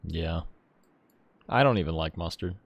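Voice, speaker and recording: A man's voice: sits low, measured pace, creaky, slightly rough, no echo. A man chatting casually as he hosts a game livestream, jokey and opinionated, on a close mic. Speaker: gaming streamer and host.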